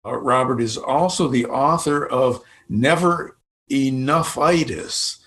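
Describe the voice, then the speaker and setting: A man hosting a podcast who reads a measured guest introduction, drawing out some words, and then asks questions. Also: mid-range voice, a bit bright. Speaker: podcast host.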